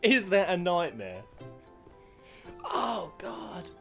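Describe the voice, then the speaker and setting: A higher-voiced man streams a casual reaction, musing aloud in slangy, chatty asides. His pitch moves around freely, and game music plays behind him. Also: very animated, drawn-out words, creaky. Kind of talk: casual live reaction commentary.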